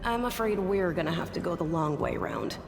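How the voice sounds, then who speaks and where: Gritty fantasy game companion, wry and stubborn, lively pitch swings, echoing hall with music. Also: female voice, gravelly. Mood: wry, resilient. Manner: colloquial, wry, unhurried. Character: gritty, plucky injured woman companion, dry-humoured and stubborn. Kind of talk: fantasy video game voice acting. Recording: echoey reverberant hall, music behind.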